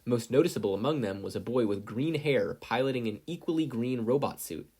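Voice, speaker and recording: A story narrator reading scripted fiction in an expressive storytelling manner. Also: medium pitch, a little fast, slightly rough. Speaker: podfic reader and narrator.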